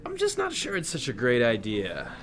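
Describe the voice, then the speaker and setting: A man's voice: medium pitch, huge pitch swings, moderate pace, a bit bright. A man playing Pestilence as a glum, hesitant suburban brother, grumbling reluctantly in a mockumentary audio comedy. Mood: wary, reluctant. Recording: clear background ambient noise.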